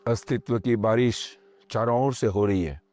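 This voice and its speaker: A man leading a guided meditation, speaking calmly and measuredly in short phrases with pauses, as slow instructional narration. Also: mid-range voice. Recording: voice over background music. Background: meditation music.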